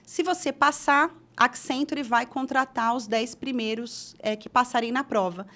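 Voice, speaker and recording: Woman, middle-aged, from Brazil, chatty storyteller, many restarts, fairly high voice. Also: normal speed, bright, forceful tone. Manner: chatty, frequent restarts and fillers. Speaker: interview guest, HR director. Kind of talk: casual storytelling interview.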